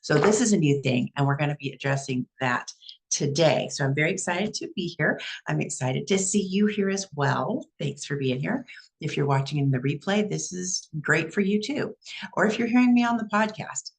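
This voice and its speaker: A woman's voice: low-pitched, lively intonation, moderate pace, gravelly. A woman with a Texan accent hosting a casual livestream as a psychic medium, chatty and narrating her own steps with easy laughs. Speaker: psychic medium and show host. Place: at home.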